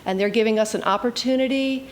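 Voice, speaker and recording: A woman's voice: a little high, fast talker. A woman hosting an interview program in a prepared, organized style, asking questions and giving thanks. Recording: echoey room with background noise.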